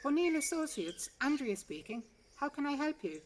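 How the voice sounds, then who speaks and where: A young female receptionist acted by a woman storyteller, polite, careful and professional with a Southern English accent. Her voice is lifted in pitch, and birdsong is clearly heard around the recording. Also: sing-song, moderate pace, a little hiss or hum. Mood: brisk, polite. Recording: outdoor garden recording, distant mic, birdsong.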